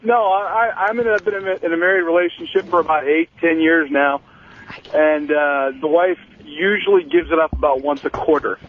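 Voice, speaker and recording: A man's voice: on the high side, a little fast. A man phoning a radio show, chatting casually and a little hesitantly. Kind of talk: casual call-in chat. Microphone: telephone. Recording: dull, distant phone call audio.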